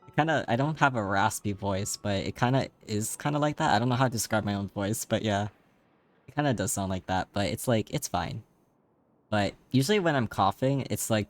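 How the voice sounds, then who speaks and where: Man, streamer, slightly raspy, low voice, rambling casual chat. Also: normal speed. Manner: rambling, many fillers, laughs often. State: voice tired from streaming.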